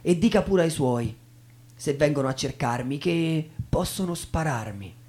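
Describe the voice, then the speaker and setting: A man's voice: on the high side, expressive, measured pace, darkish tone. A man who narrates and recites literary texts as a theatrical stage performer.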